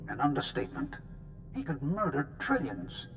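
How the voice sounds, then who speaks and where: Dry, high-ish older scientist. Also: male voice, huge pitch swings, normal speed, vocal fry, noisy background, full character voice. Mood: grave, analytical. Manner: precise, analytical, dry. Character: dry, pessimistic older male military scientist. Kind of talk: dramatic video game acting.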